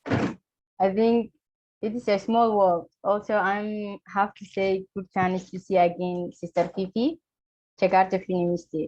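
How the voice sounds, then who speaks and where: A woman from Somalia giving an earnest talk in a webinar, speaking halting with pauses, over a video call. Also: mid-range voice, little pitch movement, a little mellow, muffled recording. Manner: earnest, halting non-native English with pauses. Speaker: webinar speaker, refugee community leader.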